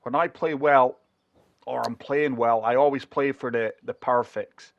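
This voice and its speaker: A man's voice: medium pitch, steady tone, measured pace, no echo, highs cut off. A man chatting through a golf video game, struggling for breath with a chest infection. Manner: chatty, talks viewers through each shot. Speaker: gaming channel host. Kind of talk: casual golf game commentary.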